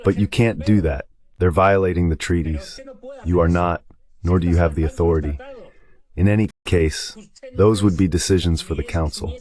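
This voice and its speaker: A deep male voice delivers translated political commentary as a guest analyst. The phrasing is conversational and emphatic, staying low in pitch throughout. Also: fairly even intonation, moderate pace, a little mellow.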